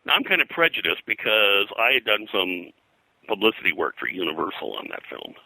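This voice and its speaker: Older male interview guest, low forceful voice, rambling anecdotal historian. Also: wildly swooping pitch, a little fast, creaky, no room sound, rough recording. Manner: rambling, digressive, hesitant.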